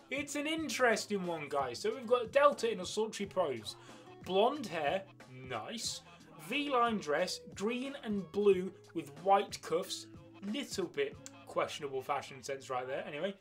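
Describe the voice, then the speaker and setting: A young man with a slightly high voice presents fan news in an excited, emphatic way. He breaks up his phrases with dramatic pauses on the key words. Music plays faintly behind him. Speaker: host of an anime news channel. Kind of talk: excited fan commentary on manga spoilers. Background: background music.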